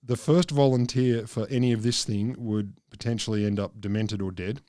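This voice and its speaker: A middle-aged man co-hosting a casual science podcast, explaining from notes in a halting, dryly joking, sweary way into a close microphone. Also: fairly low pitch, steady tone, mellow, no room sound. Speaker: podcast co-host. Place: small book-lined room, indoors. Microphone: close podcast microphone, headphones.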